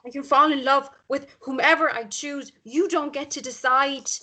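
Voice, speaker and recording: A woman's voice: sits high, fairly even intonation, normal speed, sharp tone. An actress playing a hurt, defiant woman with an intense, emotional manner in a drama table read. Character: hurt, defiant mother standing up to her ex.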